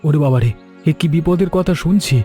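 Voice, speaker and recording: A man voicing an eager, helpful younger village man in a cartoon, with an animated, solicitous manner. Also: fairly high pitch, a little fast, soft background music, close to an everyday voice. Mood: alarmed. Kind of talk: animated moral story dubbing.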